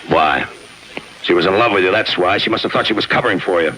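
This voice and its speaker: Low, hard-edged sardonic police lieutenant. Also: male voice, quick pace. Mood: pressing. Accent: American. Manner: clipped, deadpan, needling wisecracks. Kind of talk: 1950s radio crime drama acting. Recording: noisy old 1950s radio broadcast.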